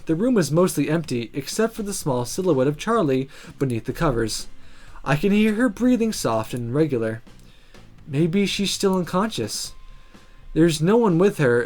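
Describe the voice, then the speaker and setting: A woman hosting a gaming video, reading a visual novel aloud with expressive narration and casual commentary. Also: deep voice. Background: faint music.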